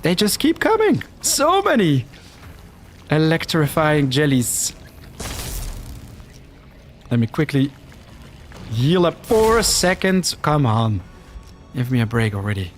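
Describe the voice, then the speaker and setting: A man's voice: a little high, huge pitch swings, takes it slowly, pauses often, slight background noise. A man from the Netherlands with a Dutch-Flemish accent, chatting casually as he streams a game live, reacting to the action and reading viewer comments aloud.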